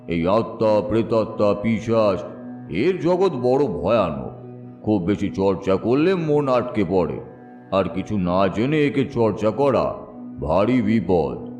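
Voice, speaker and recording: A kindly but stern old ghost sage, voiced by a man, speaking with slow, knowing chuckles. His voice is mellow and dark, and its pitch swings widely. The sound is roomy and echoing, with faint music behind it. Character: kindly ghostly old male tantric astrologer. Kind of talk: Bengali audio story acting.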